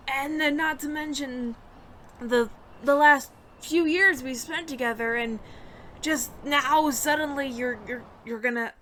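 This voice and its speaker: A woman's voice: on the high side, a bit bright, slight background noise. A woman playing a flustered, smitten alien roommate who stammers nervously and earnestly in an intimate audio roleplay. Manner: nervous stammering, halting, repeats words.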